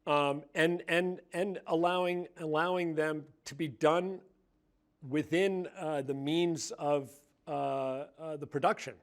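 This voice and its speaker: A man with a fairly high voice talking slowly and hesitantly in an interview. He fills nearly every pause with "uh" and "um". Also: fairly even intonation. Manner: hesitant, many "uh"s. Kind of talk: casual junket interview.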